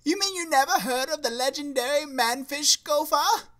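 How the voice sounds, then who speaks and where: A man's voice: extremely high pitch, normal speed, a bit bright. A man voicing a young student Shakespeare in a puppet comedy, theatrical and thin-skinned, with a melodramatic, pleading delivery. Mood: anxious, defensive. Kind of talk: puppet show comedy acting.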